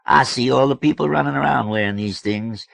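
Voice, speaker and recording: A man acts out a boisterous, folksy storybook fellow. He talks loud and slangy, pitched well above the reader's own deep voice. The delivery is emphatic and hearty, with a close, dry sound. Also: a little fast, gravelly, dry recording. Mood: boisterous, teasing. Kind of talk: audiobook character voice.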